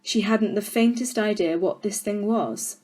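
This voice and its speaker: A woman storyteller giving an expressive children's audiobook narration with deliberate, dramatic phrasing. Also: mid-range voice.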